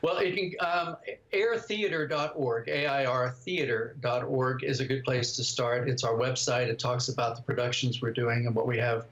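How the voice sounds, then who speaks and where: A man's voice: medium pitch, fairly even intonation, moderate pace, fairly bright tone. An older man, a guest on a video call, giving thoughtful interview answers. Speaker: guest writer and director. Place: home study indoors, over video call. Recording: dull video-call audio.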